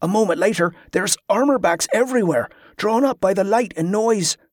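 A man's voice: high voice, moderate pace, dry recording, clean studio sound, voice pushed up. A man voicing a nervous, respectful sailor in an audiobook, reporting hesitantly to his superior. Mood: anxious, deferential.

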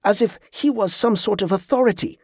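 Man acting a thoughtful male traveller, formal, curious, slow and measured, dry sound, fantasy audiobook. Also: a little high, animated, slightly rough, dry recording. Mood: amused.

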